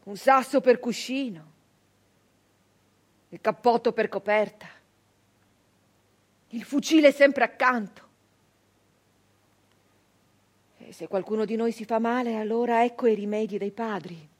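An actress playing a fierce, embittered nineteenth-century brigand woman in an intense, declamatory theatrical monologue with dramatic pauses. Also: a little high. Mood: hushed, bleak, then weary, matter-of-fact. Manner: intense, declamatory, with slow pauses and hushed drops. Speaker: stage actress.

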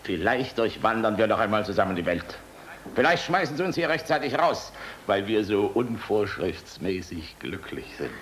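A man's voice: fairly low pitch, very animated, moderate pace, fairly bright tone. An actor in a German radio play, playing a world-weary, tender general and flier who knows he is hunted. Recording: noisy old radio play recording.

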